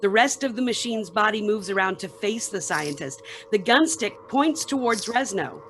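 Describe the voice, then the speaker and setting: A woman's voice: mid-range voice, expressive, moderate pace, a bit bright, slight background noise. Woman narrator reading stage directions. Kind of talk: scripted narration.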